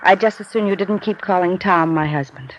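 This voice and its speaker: An actress playing a wistful, troubled wife of a fugitive, earnest and plaintive, in a 1950s radio drama. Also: female voice, lowish voice, fairly quick, lightly acted. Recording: muffled, hissy old radio recording.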